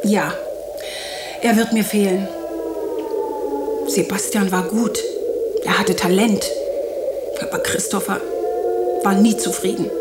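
A woman's voice: mid-range voice, close to an everyday voice. A woman playing a dramatic, evasive television actress under suspicion in a German radio drama, speaking in an emphatic, theatrical way. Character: dramatic, evasive TV actress suspected of blackmail and murder. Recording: noisy ambience behind the voice.

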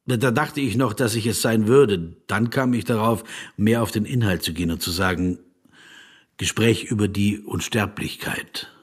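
An actor playing an earnest, politely persistent philosopher in a radio play, with a husky voice and a reflective, probing manner. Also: male voice, lowish voice, moderate pace. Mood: hesitant, conceding.

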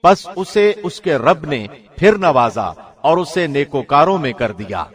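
A man reads a translation of scripture in a formal, scripted style. His voice sits somewhat high, and he speaks with solemn, measured, carefully articulated phrasing. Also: sing-song, breathy edge. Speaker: translation reader, professional radio host.

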